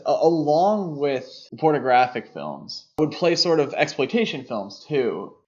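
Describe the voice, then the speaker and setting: A man co-hosting a podcast, talking through film history in a conversational way. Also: mid-range voice. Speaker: podcast host. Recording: dull, lo-fi podcast audio.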